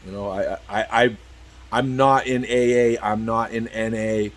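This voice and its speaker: Rambling fifty-something man, low voice. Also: steady tone. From Cambridge. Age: 50s. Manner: halting, repeats "you know" constantly, swears freely. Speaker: YouTube vlogger. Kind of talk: rambling candid talk to camera.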